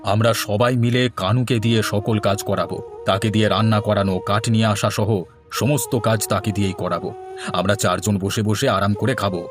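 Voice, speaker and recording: A man's voice: lowish voice, steady tone, moderate pace, over music, natural voice. A man voicing a bossy, lazy eldest brother in a cartoon story, commanding and scheming as he orders his youngest brother about.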